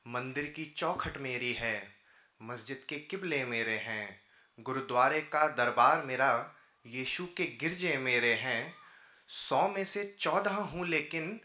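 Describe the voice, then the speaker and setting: Male poet, thirties, very bright forceful tone, declamatory and rhythmic, roomy hall sound. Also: medium pitch, expressive, takes it slowly. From India. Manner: declamatory, rhythmic repeated phrasing. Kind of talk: live Urdu-Hindi poetry recitation. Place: indoor auditorium stage at a podium. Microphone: podium microphone. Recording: roomy auditorium sound through PA microphone.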